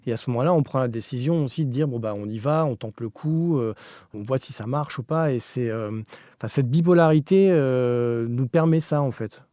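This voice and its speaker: A man's voice: mid-range voice, normal speed, warm tone, no echo, clean studio sound. A man giving conversational answers as an architect being interviewed.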